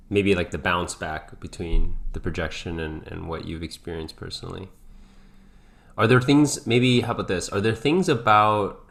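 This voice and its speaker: A man co-hosting a podcast in casual, reflective conversation, speaking hesitantly as he thinks out loud. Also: low-pitched, gravelly. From grew up in several countries. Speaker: podcast co-host.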